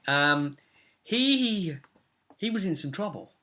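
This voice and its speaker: Bright-voiced young man recapping a game. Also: high voice, very animated, slow. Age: young adult. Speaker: host and game master. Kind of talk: casual recap of a tabletop role-playing session. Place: small room at home, indoors.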